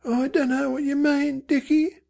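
A woman's voice: fairly high pitch, steady tone, a little slow, creaky, a little mellow, pitched above the performer's own voice. A woman voicing a doleful, listless slum mother with speech, faltering and feebly respectable, in an audiobook. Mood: faltering reproach. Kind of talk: audiobook character voice.

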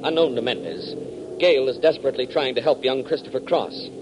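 A man's voice: mid-range voice, fairly quick. Dramatic 1940s radio narrator, American man. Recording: faintly noisy 1940s radio recording.

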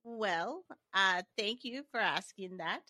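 A middle-aged woman from Nigeria, a company founder and guest, answering interview questions in a measured way with frequent fillers. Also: medium pitch, expressive, sharp tone, no echo, clean studio sound.

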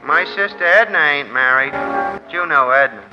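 A man acting a folksy American bartender in an old radio crime drama. His voice is notably high and his talk is plain, sympathetic street slang. The vintage recording is muffled, with music behind it. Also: animated, moderate pace, lightly acted. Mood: glum, sympathetic. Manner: colloquial, ungrammatical street talk. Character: folksy, good-natured working-class head bartender, sympathetic.

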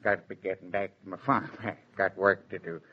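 An actor playing a sentimental American farmer, gruffly tender and halting, in a 1940s radio drama. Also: male voice, low-pitched, fairly even intonation, slight background noise, close to an everyday voice. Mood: choked up. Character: sentimental male farmer parting with his cow. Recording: dull 1940s radio transcription.

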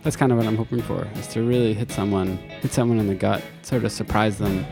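A man's voice: lowish voice, fairly even intonation, quick pace, gravelly, loud music behind the voice. A middle-aged man, a singer and guitarist, talking thoughtfully about his song in a casual interview.

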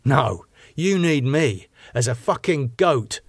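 A male audiobook narrator plays a haunted, defiant man with a raised, very animated pitch. He is agitated and snappish, prone to bitter sarcasm. The sound is dry. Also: slow, breathy edge, dry recording, exaggerated voice. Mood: sarcastic, defiant. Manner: stammering, sweary, snapping outbursts. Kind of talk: audiobook character dialogue.

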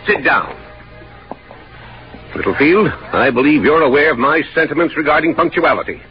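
A man's voice: fairly high pitch, lively intonation, moderate pace, lightly acted. An actor playing a pompous, irascible older male boss barking clipped demands in a 1940s radio thriller. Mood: stern, impatient. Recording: dull old radio recording with music.

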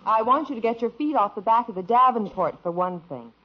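An actress playing a patient, dry-humored mother in a 1950s radio sitcom, gently scolding. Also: on the high side, expressive, brisk. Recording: dull old radio broadcast.

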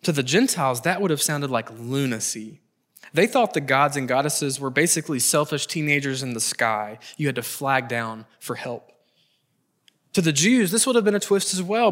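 A middle-aged man preaching a prepared sermon, earnest and emphatic, with deliberate pauses and repeated phrases for weight. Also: fairly high pitch, a bit bright.

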